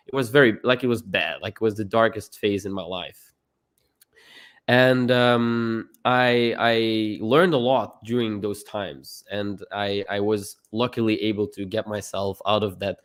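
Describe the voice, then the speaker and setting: Earnest young man from Belgium. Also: fairly low pitch. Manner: earnest, repeats words when starting sentences. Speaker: guest. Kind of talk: casual live-stream conversation.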